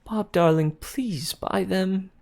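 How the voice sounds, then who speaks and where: A man's voice: mid-range voice, very animated, a little slow, a little mellow. A man voicing an eager, gushing young woman engaged to be married. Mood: wheedling.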